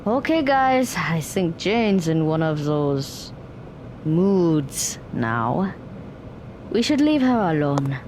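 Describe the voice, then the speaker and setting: A woman's voice: sits low, big pitch swings, slow pace, a little mellow, a little hiss or hum, full character voice. A woman playing a practical, blunt young woman in an audio drama, speaking matter-of-factly.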